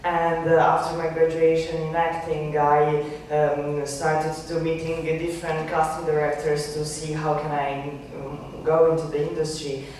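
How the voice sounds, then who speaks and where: A woman from Croatia with a Croatian accent, an actress and founder speaking earnestly on a panel with many pauses. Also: deep voice, little pitch movement, a little mellow, a little hiss or hum. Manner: earnest, halting with many fillers. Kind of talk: panel discussion. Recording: echoey hall from far off.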